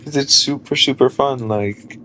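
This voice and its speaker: A man in his thirties chatting casually on a live stream, loose and rambling with lots of fillers and a low speaking voice. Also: a little slow, sharp tone, slight background noise, poor audio. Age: 30s.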